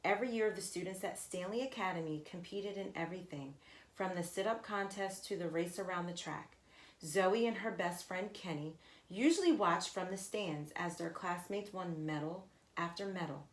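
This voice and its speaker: A woman reading a children's story aloud expressively, with deliberate emphasis and asides to her listeners. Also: on the low side. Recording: echoey, roomy sound.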